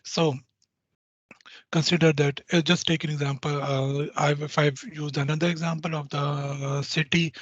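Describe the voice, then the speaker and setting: A man presents a technical walkthrough in a husky, slightly breathy voice, stopping and restarting his sentences and filling gaps with "uh". His audio is dry and dull, like a lo-fi computer mic on an online call. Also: fairly high pitch, flat delivery, fairly bright tone, no room sound. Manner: halting, full of restarts and "uh" fillers. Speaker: user group presenter.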